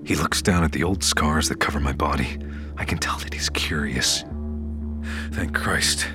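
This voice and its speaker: Dark, scarred captive in audio drama. Very low voice, hushed and deliberate, music underneath. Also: male voice, whispered. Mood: secretive, calculating. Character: dark, troubled scarred male captive, narrating his own ordeal. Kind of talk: audio drama narration and dialogue.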